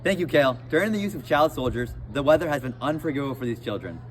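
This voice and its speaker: A man's voice: fairly high pitch, expressive, fast, in a large hall, low-quality recording. A young man giving a dramatic scripted weather report in an amateur news segment.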